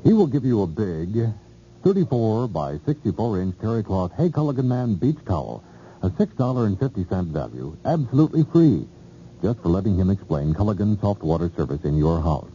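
Male American announcer for radio ad copy; low and animated, muffled vintage recording with some hiss. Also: fairly quick, slightly rough. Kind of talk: scripted radio advertisement read.

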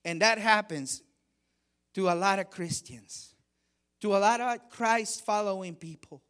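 A middle-aged man from Honduras preaching a sermon, measured and emphatic, with quieter stretches for personal stories. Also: high-pitched, smooth. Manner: measured preaching, rising emphasis on key phrases. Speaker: guest preacher.

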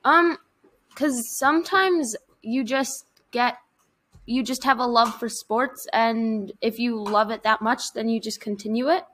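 A woman interviewer asks plain questions with a hesitant "um" before them. Her voice sits slightly high. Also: a little slow, fairly bright tone.

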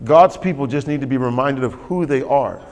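A man's voice: medium pitch, moderate pace, darkish tone. A man preaching a sermon as pastor, emphatic and repetitive, calling for responses from the congregation. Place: church sanctuary. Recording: long hall reverb.

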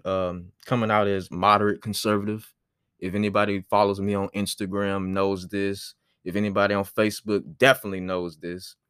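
20 yr old guy talking solo on his podcast, kinda low voice, dry close sound, rambly. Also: no echo. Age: 20s. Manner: relaxed, rambling, frequent fillers. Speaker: podcast host.

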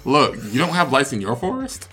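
A man's voice: mid-range voice, wildly swooping pitch, a little fast, sharp tone, slightly rough. A man co-hosting a podcast with informal, casual banter. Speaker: podcast co-host.

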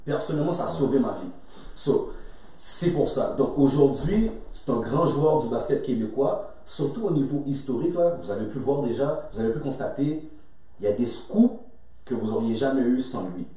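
Earnest male host with a mellow voice, heard from a distance in a reverberant room. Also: mid-range voice, moderate pace. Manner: earnest, steering the talk. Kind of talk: casual podcast hosting. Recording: very echoey room, distant mic.

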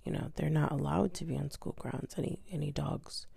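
A woman hosting her own podcast, raspy from having just woken up, telling a story in a soft, often half-whispered way with many hesitations. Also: low-pitched, fairly even intonation, fairly quick, dry recording. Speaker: podcast host. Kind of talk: casual solo storytelling.

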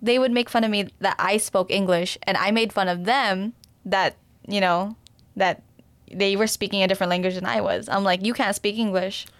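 A woman talks in a chatty, agreeable way and laughs easily. Her voice sits at a middling pitch. The recording is dry and close, with no room sound. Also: steady tone, moderate pace, no room sound. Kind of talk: casual chat.

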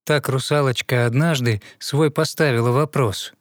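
A man narrating a fairy tale in verse, in an expressive storytelling manner. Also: mid-range voice, normal speed, no room sound, studio quality.

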